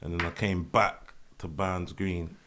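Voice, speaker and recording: A man's voice: sits low, flat delivery, a little slow, background noise. A young man with a London accent, a podcast guest and music producer, chatting casually in laughing, slangy banter. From England. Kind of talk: casual group chat.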